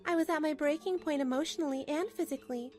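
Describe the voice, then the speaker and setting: Wronged wife, firm and pragmatic, played by a woman with a high voice, expressive manga-dub narration over faint music. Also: fast, lightly acted. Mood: hurt, weary. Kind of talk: dramatic first-person narration for a manga dub.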